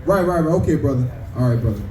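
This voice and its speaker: A man's voice: mid-range voice, animated, very fast, a crowd in the background. A man preaching and debating, who keeps calling his listeners "brother" and asks others to read out verses. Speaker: street preacher. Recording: echoey, distant, with ambient noise.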